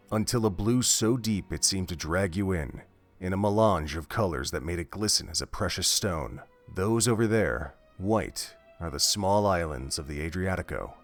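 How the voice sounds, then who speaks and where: A man narrating a fan audio drama in a measured, expressive storytelling manner. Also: sits low. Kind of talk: scripted audiobook-style narration.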